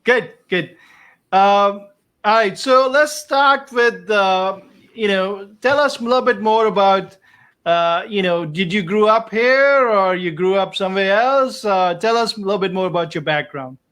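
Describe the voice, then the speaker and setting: A man speaking as host of a casual interview show, in a halting, repetitive and encouraging way. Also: sits high, a bit bright. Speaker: host, doctor interviewing his patient. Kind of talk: casual online show chat.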